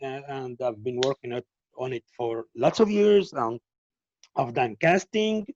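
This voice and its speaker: Halting man, native Arabic speaker. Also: medium pitch, a little mellow, muffled recording, a little hiss or hum. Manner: halting, repeats words while searching for them. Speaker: filmmaker. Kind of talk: interview answers.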